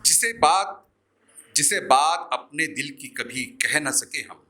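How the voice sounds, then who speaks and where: Bright-voiced man reciting poetry, slow and expressive. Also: fairly high pitch. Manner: deliberate, repeats lines for effect. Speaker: poet performing at an open mic. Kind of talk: Hindi poetry recitation with spoken introductions. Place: live open mic venue.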